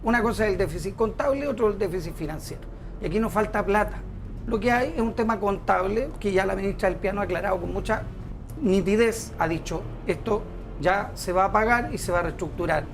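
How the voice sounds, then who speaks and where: A man's voice: high voice, a little fast, soft background music. A middle-aged man with a Chilean accent, a senate candidate defending his side in a TV panel debate, insistent and explanatory.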